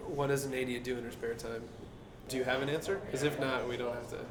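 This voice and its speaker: A man's voice: medium pitch, level tone, fast, crowd noise. A man co-hosting a casual Q&A with joking, playful banter. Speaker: actor co-hosting a Q&A. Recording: echoey room, clear background noise.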